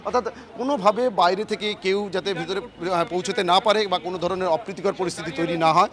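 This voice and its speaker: A man's voice: sits high, fast, a bit bright. A man with a West Bengal Bengali accent giving an emphatic, repetitive live news report from the field, stumbling now and then. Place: outdoors outside a court complex.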